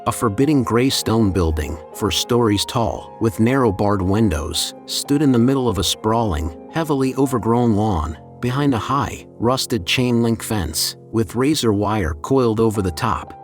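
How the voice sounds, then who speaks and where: A man narrating a scripted first-person horror story in a measured, deliberate way, as a storyteller. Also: fairly low pitch, animated. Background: background music.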